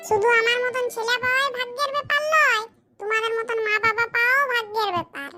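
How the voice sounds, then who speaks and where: A performer voicing a dutiful young village son in a comedy cartoon, with a shrill pitched-up voice. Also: quick pace, over loud music. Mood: earnest.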